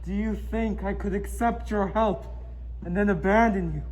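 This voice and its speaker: A man's voice: high-pitched, normal speed, a little mellow, close to an everyday voice, poor audio. An ardent young seafaring hero played by a young man, speaking in earnest, declamatory theatre style. It is a live stage-play performance. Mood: ardent vow. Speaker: student actor in a school competition play.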